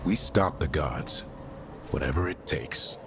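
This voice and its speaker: An actor playing a stoic, loyal warrior in a video game, firm and grave in delivery. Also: male voice, takes it slowly, heavily acted. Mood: grave resolve. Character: stoic male warrior, adult, loyal and brave. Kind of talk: video game drama acting. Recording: clear rumbling ambient noise behind.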